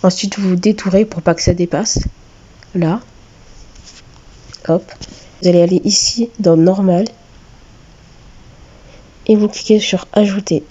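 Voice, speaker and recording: A woman with a cold giving a step-by-step drawing tutorial, talking hesitantly with many filler pauses. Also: mid-range voice, fairly even intonation, warm tone, slightly rough, dull audio. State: has a cold. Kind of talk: screen-recorded step-by-step tutorial.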